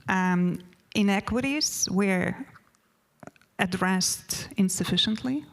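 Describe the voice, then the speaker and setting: A woman's voice: mid-range voice, slow pace, creaky. A woman with a Lithuanian accent, a non-native English speaker giving a conference panel presentation from notes, with many hesitations and restarts.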